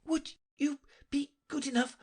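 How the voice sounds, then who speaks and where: A man takes on a little girl from a storybook. The voice is pitched far up. She is polite and earnest, with a prim, questioning lilt. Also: big pitch swings, unhurried, fairly bright tone, husky, heavily acted. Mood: breathless, polite.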